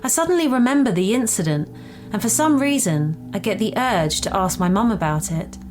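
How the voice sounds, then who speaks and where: A woman's voice: mid-range voice, animated, moderate pace, darkish tone, soft background music. A woman reading a scripted first-person story aloud in a conversational way, as a storyteller. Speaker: story reader.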